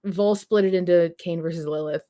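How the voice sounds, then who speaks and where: A woman's voice: on the low side, moderate pace. Casual, joking woman podcast host. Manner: animated, joking, sweary. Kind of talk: casual fan podcast chat.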